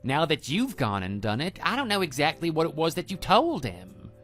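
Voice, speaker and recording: A man's voice: a little high, huge pitch swings, normal speed, a bit bright, voice pushed up. A man voicing a brash, evasive young former student in a scripted audio drama, with a flippant, defensive manner. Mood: annoyed. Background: background music.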